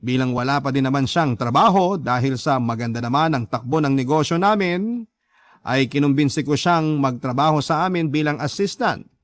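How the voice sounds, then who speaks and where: A man hosting a radio love story show, reading a scripted letter dramatically in measured, pause-filled storytelling phrases. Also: mid-range voice, lively intonation, dry recording.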